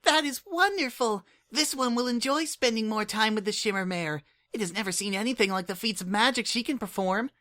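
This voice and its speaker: Reader voicing a high, earnest changeling kid. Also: fairly quick, hardly any pauses, bright, forceful tone, natural voice. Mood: excited. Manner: formal, speaks of herself in the third person. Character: small young female changeling child, earnest and eager.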